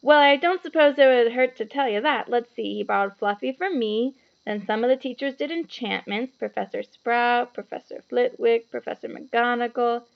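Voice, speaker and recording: A woman's voice: on the high side, moderate pace, creaky, few pauses, dull audio. A woman voicing a burly, friendly male gamekeeper in a children's storybook, with folksy, clipped informal speech. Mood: obliging.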